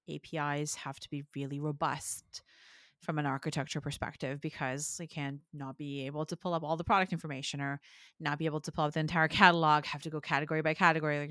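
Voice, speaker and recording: Female host, low pitch, bright forceful tone, curious questioner. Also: dry recording, studio quality. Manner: curious, asks probing questions. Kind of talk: conversational interview.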